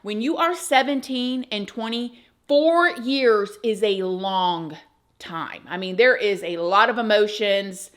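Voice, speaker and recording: A woman's voice: mid-range voice, slow, fairly bright tone. A woman, hosting a true crime channel and talking chattily and emphatically to her viewers. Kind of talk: casual chat to camera.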